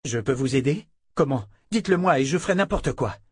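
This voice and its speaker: An earnest young man, protective and anxious, as a narrator plays him, in a fantasy audiobook. The voice is somewhat husky and very dry, set a little above mid pitch. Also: lively intonation, fairly quick, dry recording. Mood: pleading.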